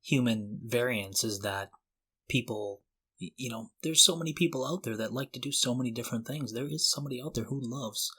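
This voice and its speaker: A man's voice: mid-range voice, a little fast, sharp tone, husky. A man co-hosting a conversational podcast, talking earnestly and a little tentatively. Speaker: podcast co-host.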